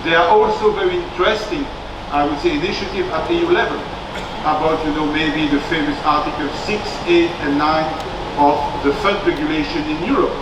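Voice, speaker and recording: A man's voice: on the high side, a little fast, heavy background noise. A man with a Belgian accent giving emphatic, rhetorical remarks as a financial regulator at a conference, recorded in an echoey room from a distant mic. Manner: emphatic, rhetorical, repeats filler phrases like "let us be clear". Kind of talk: public panel speech, semi-prepared remarks.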